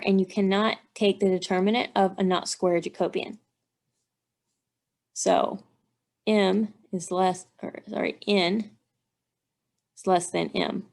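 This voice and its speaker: A woman instructor with noticeable vocal fry talks through technical material step by step. Her pitch sits in the middle range for a woman, and she explains methodically, working out each piece aloud. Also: a little mellow. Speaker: engineering instructor. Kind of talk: instructional walkthrough, thinking aloud while working out math.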